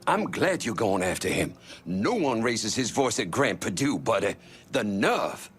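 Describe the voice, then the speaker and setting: A man's voice: lowish voice, very animated, unhurried, fairly bright tone. Swooping, fussy small-time salesman. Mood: indignant. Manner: folksy, mincing oaths, hesitant then indignant. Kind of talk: dramatic video game acting.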